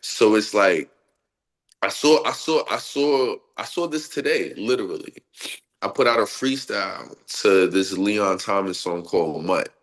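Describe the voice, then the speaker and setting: A man's voice: on the low side, unhurried. A man with a stuffy, nasal voice from a cold, talking casually in an interview and then performing spoken-word poetry with rhythmic delivery. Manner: expressive, laughs often, rhythmic poetic delivery. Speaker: poet guest.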